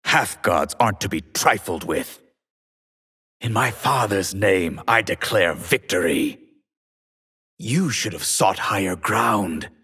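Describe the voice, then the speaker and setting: An actor playing a proud, ambitious sorcerer warrior in a fighting video game, haughty and theatrical, with a husky voice. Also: male voice, low-pitched, animated, takes it slowly, sharp tone, dry recording, clean studio sound, heavily acted. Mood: menacing, triumphant. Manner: haughty, imperious, theatrical delivery. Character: proud, ambitious male sorcerer warrior who commands water.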